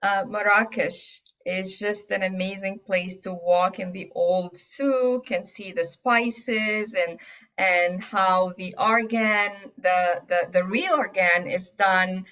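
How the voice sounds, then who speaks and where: Woman, first language Arabic, from Egypt, unhurried halting speech, muffled lo-fi sound. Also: mid-range voice, steady tone, a bit bright. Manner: hesitant, many fillers and restarts. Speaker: guest aromatherapy teacher. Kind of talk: casual interview answers. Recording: dull lo-fi audio.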